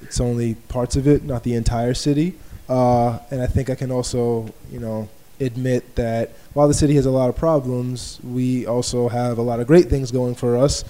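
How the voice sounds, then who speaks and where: A man from Baltimore hosting a live podcast interview in front of a small audience, easygoing and relaxed. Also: mid-range voice, moderate pace, hardly any pauses. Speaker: podcast host.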